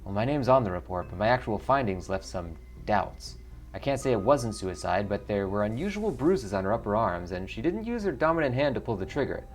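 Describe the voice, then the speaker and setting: Man doing a dry, measured dwarf coroner. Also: fairly low pitch, sing-song, soft background music. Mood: matter-of-fact. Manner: measured, matter-of-fact explaining. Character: dry, wry dwarf coroner, principled and solitary.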